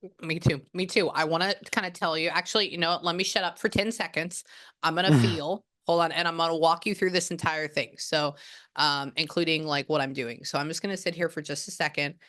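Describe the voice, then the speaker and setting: Chatty low-voiced woman medium. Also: fairly even intonation, a bit bright. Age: 30s. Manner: chatty and rambling, quick bursts of surprise. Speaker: psychic medium. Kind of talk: live reading session on a video call.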